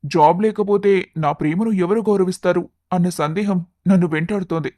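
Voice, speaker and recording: A man acts out an affectionate but anxious young man in an animated moral story. The voice sits high for a male and sounds very dry, with an earnest, confiding delivery. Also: moderate pace, no echo, lightly acted. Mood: worried.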